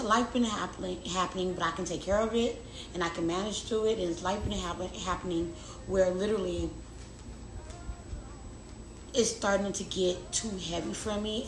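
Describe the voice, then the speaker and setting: A young adult woman vlogger chatting casually and confidingly to her viewers, often repeating words for emphasis. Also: medium pitch. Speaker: lifestyle vlogger. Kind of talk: casual confiding chat to camera. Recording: roomy echo, clear background noise.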